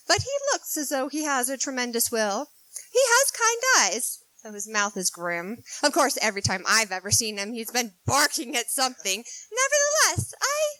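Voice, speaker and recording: A frank, spirited young woman, voiced by a woman, chatters eagerly in a 1940s-style radio play. Her voice is high, and the sound is close and dry. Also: bright, forceful tone, dry recording, poor audio. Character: spirited outspoken young woman, tomboyish writer. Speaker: radio play actor and narrator.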